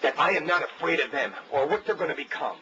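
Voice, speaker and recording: A defensive, confrontational estranged husband in an audio drama. His voice is fairly high for a man and tense, with halting, gruff delivery. The sound is slightly dull. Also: lively intonation, sharp tone. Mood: uneasy. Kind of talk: naturalistic audio drama acting. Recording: dull field recorder tape, faint noise.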